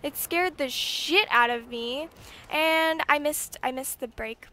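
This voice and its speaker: An eighteen-year-old woman telling a personal story casually over gameplay, rambling and animated, with frequent swearing. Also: high-pitched, takes it slowly. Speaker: gaming YouTuber.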